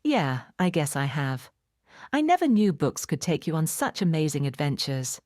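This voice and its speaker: A woman's voice: low-pitched, sing-song, normal speed, a little mellow, no room sound, studio quality. A woman storyteller voicing a shy, polite, earnest young boy in a storybook. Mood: pleased.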